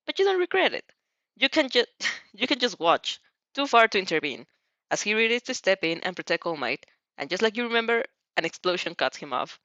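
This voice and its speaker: A woman's voice: medium pitch, big pitch swings, a little fast, a bit bright, no echo, lo-fi sound. A woman reading a story aloud expressively as an amateur, often stumbling and rereading phrases. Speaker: fanfiction audiobook reader.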